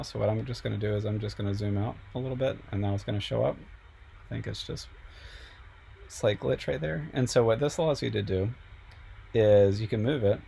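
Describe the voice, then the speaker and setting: Low gravelly sick man teaching software. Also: slight background noise. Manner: relaxed, step-by-step, frequent ums. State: a little sick, losing his voice. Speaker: instructor. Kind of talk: screen-recorded software tutorial.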